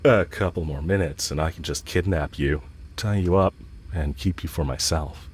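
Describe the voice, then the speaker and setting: Man acting a low-voiced lovestruck villain. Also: a little hiss or hum, close to an everyday voice. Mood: wry, tentative. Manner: hesitant, earnest, halting. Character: lovestruck male villain confessing to his enemy, yearning. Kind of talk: intimate audio roleplay acting.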